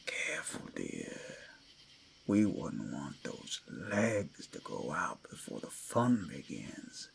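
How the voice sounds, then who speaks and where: A predatory male werewolf toys with his prey in an ASMR roleplay. He speaks extremely slowly in a heavy growling rasp, swinging theatrically between seductive murmurs, menace and breathy whispers. Faint noise sits behind the voice. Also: sits low, big pitch swings, heavily acted. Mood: sly, coaxing. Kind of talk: ASMR roleplay, dramatic monologue.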